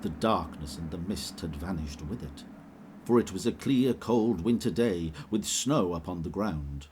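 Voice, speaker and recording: Deep-voiced male narrator. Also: slight background noise. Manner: measured, expressive reading. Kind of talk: scripted storybook narration.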